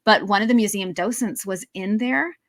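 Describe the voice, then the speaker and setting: A woman podcast co-host telling a travel story in a casual, easygoing way, pausing often as she recalls details. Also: medium pitch. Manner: easygoing anecdote-telling with frequent pauses. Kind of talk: casual chat.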